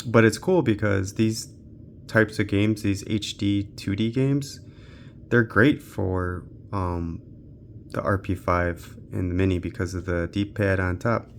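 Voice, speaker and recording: A man's voice: lowish voice, steady tone, slow pace, a little hiss or hum. A man giving casual, unscripted gaming commentary as a channel host, thinking aloud in a laid-back way.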